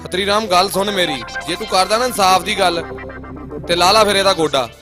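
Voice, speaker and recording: A man's voice: high-pitched, a little fast, natural voice. A man voicing a clever, cheeky young courtier in a comic cartoon dub, with a Punjabi accent. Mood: sly, firm. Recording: music behind the voice. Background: music.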